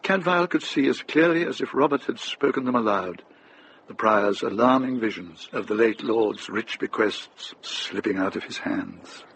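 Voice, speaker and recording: An actor narrating as a grave, measured storyteller in a scripted radio drama. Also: male voice, on the low side, lively intonation, vocal fry, a bit bright, slight background noise, low-quality recording. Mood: measured, dry.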